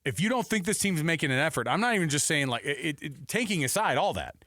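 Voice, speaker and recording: An American man hosting live sports talk radio, casual, animated and slangy, on a close studio microphone. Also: a little high, fast, no room sound. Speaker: sports radio show host.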